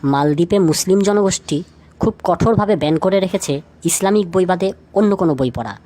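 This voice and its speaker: A woman with a slightly low voice presents a light trivia feature from a script. She addresses the listener directly, posing questions as she narrates. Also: fairly quick, warm tone. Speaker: lead narrator of a feature video.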